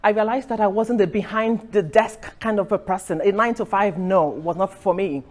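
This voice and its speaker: A woman's voice: medium pitch, normal speed. A middle-aged woman from Nigeria giving an earnest personal talk at an event, telling her story with restarts and light laughs. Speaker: speaker. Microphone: recorded for a podcast.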